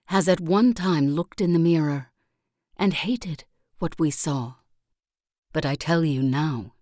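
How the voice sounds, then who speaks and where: Serene female self-help leader for a strategy game: very unhurried, soft-edged tone, earnest, solemn, preacherly. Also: deep voice, dry recording, clean studio sound. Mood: fervent, uplifting. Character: serene female spiritual self-improvement guru, earnest and uplifting. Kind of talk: scripted game voice lines, motivational speech.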